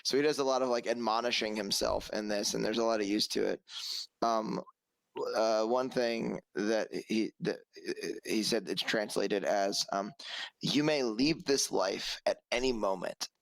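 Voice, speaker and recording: Young southern guy, gravelly low voice, jokey casual podcast chat, dry headset sound. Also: moderate pace, no room sound, poor audio. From American South. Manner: joking, relaxed, swears casually. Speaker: podcast co-host. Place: small room with pink lighting, at home. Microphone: headset mic.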